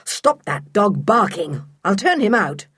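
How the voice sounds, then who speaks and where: A woman's voice: low-pitched, sing-song, moderate pace, husky, no room sound, studio quality, heavily acted. A woman voicing a curt, irritable uncle with a fierce temper in a children's storybook. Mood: angry.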